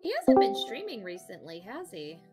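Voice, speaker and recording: A woman joking and teasing in casual, expressive banter during a game stream. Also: mid-range voice, moderate pace, loud music behind the voice.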